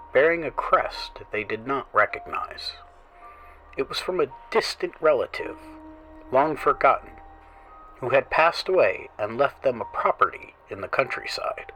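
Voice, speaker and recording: A man hosting a horror story show, reading scripted tales in a slow, theatrical voice that often drops into hushed whispers. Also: medium pitch, low-quality recording. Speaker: horror show host and narrator.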